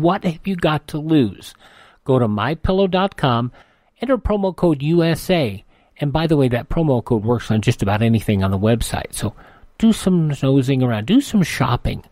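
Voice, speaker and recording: A man's voice: a little high, darkish tone, dry recording, studio quality. A man hosting a classic radio program, reading ads and station notes in a folksy, chatty way. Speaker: radio host. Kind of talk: casual host patter and live-read ads.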